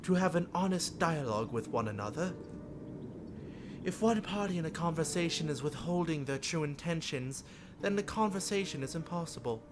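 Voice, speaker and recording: Man voicing a proud, formal mermaid, softly measured. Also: fairly high pitch, lively intonation, noisy background, natural voice. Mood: proud, earnest. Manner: formal, measured, lofty wording. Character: proud, blunt female mermaid, earnest and impatient with humans. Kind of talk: visual novel character read aloud.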